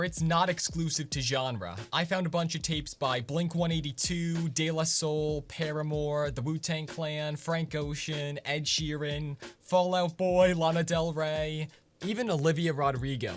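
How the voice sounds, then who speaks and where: A man's voice: fairly high pitch, a little hiss or hum. A man hosting a scripted explainer vlog in a conversational, punchy way with casual asides. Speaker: video host.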